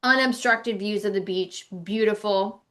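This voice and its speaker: A woman's voice: medium pitch, a little slow, fairly bright tone. A woman co-hosting a travel podcast, talking in a chatty, informal way and giving her opinions as she goes. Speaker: podcast co-host. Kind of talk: casual podcast chat about travel.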